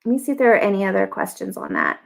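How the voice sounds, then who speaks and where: A woman's voice: mid-range voice, wildly swooping pitch, very fast, gravelly. An American woman in her thirties hosting a live Q&A stream, her throat scratchy, chatty and thinking aloud with lots of ums. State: scratchy throat, clearing it often. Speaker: livestream host answering viewer questions. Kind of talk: casual live Q&A.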